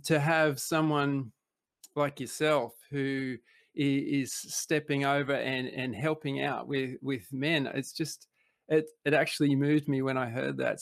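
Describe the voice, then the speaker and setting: Gravelly male podcast host. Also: medium pitch, dry recording, studio quality. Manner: halting and earnest, many fillers and restarts. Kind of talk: casual interview.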